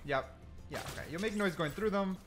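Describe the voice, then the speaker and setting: A man doing excited gameplay commentary, thinking aloud and often repeating himself. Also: high-pitched, fairly quick, soft background music. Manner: repetitive, exclamatory, thinking aloud. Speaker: gaming content creator testing game mechanics.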